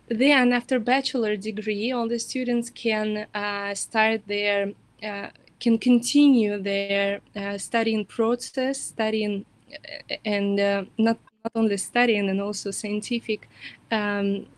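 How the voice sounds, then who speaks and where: Hesitant woman presenting university programs. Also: on the high side. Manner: hesitant, many fillers and self-corrections. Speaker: university representative. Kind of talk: webinar presentation of study programs. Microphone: video call.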